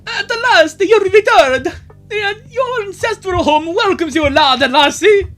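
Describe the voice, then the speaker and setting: A woman voicing a devoted old Scottish castle servant with a put-on Scottish accent, booming and formal. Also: expressive, unhurried, soft background music.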